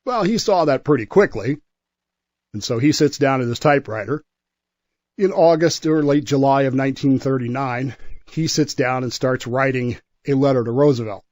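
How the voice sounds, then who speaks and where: A man with a medium-pitched voice tells history in a conversational way. He is enthusiastic and emphatic, sometimes doubling back on his words. The sound is close and dry, with little room to it. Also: normal speed, a little mellow, no echo. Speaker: history segment guest.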